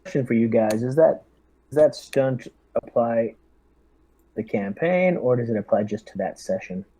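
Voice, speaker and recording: A man's voice: mid-range voice, animated, moderate pace, mellow. A man taking part in a tabletop game, talking casually with the other players. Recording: dull lo-fi mic.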